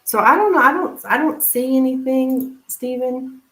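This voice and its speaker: A woman hosting a casual live tarot reading, speaking informally and haltingly as if thinking aloud. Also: sits high, fairly bright tone. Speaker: tarot reader, live stream host. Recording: roomy, echoing live stream sound.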